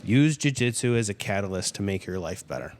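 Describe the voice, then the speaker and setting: A man's voice: on the low side, lively intonation, brisk, in a large hall, a little hiss or hum. A man in his forties, a podcast guest, speaking earnestly and reflectively in casual chat, with a touch of self-deprecation. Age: 40s.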